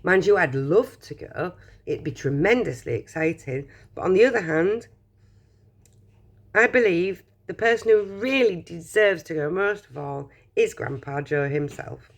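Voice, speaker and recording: A woman reading a children's storybook aloud, voicing a modest, gentle father who hesitates before answering. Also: lowish voice, unhurried.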